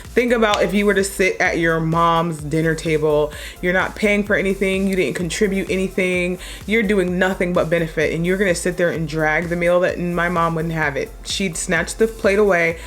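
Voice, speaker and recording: A young woman with a deep voice chatting casually as a makeup vlogger, low-key and chill but blunt when she makes a point. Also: fairly even intonation, moderate pace, hardly any pauses. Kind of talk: casual chat while doing a makeup tutorial.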